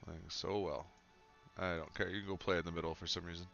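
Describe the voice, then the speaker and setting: A man with a stuffy, cold-clogged voice, casually commenting as he plays a football management game, musing and then breaking into sudden excited reactions. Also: low-pitched, fast talker, creaky, dull audio, soft background music. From Canada.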